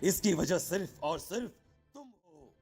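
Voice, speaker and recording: A stern holy man of the occult, acted by a man for a cartoon horror tale. He speaks solemnly and commandingly in a fairly high male voice, accusing as he goes, with music underneath. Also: expressive, a little slow, darkish tone. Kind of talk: Hindi cartoon horror story acting.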